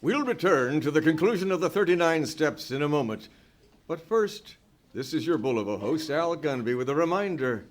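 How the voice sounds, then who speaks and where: An actor playing a polished old-time radio announcer reading a sponsor's message in a formal, selling manner. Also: male voice, on the high side, expressive, moderate pace, natural voice. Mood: polished pitch. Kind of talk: 1940s radio commercial read.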